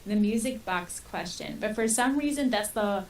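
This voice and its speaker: A woman's voice: mid-range voice, expressive, normal speed. Slightly off-mic woman, light hiss, casual podcast fan talk, co-host. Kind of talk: casual fan discussion chat. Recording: distant mic with faint hiss.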